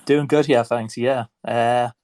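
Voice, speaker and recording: A man with a stuffed-up voice from a cold, hosting a casual online chat in a relaxed, rambling way. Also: medium pitch, slow pace, dry recording. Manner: relaxed, rambling, filler-heavy. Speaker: host.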